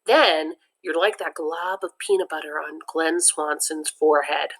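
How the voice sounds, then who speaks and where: A woman reading a children's book aloud as a teacher, expressive and lively, stopping to explain words to young listeners. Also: sits low, a little slow.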